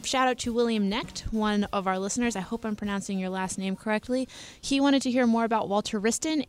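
A young adult woman hosting a podcast, talking in a chatty, playful way and laughing often. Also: mid-range voice. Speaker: podcast host. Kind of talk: casual podcast chat.

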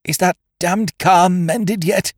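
A man voicing a befuddled young upper-class gentleman who stammers and splutters in high, strained bursts. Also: slow, no echo, studio quality. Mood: panicky. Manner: stammering, dithering, breathless bursts. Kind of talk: audiobook character voice.

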